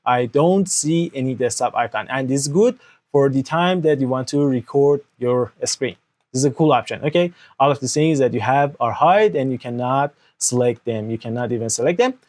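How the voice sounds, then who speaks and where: A man's voice: medium pitch, a little mellow. A young man whose first language is Persian, explaining a computer walkthrough step by step at his desk into an external microphone, often checking with "okay?". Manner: explanatory, frequent "okay?" checks, non-native grammar. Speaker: tutorial host. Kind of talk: screen-recorded software tutorial. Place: indoor room at a desk.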